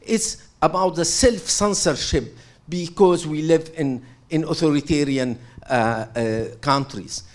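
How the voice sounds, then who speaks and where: A man's voice: a little high, measured pace. Hesitant middle-aged man asking a question. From Syria. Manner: hesitant, many fillers and restarts, earnest. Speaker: audience member asking a question. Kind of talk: halting question from the floor.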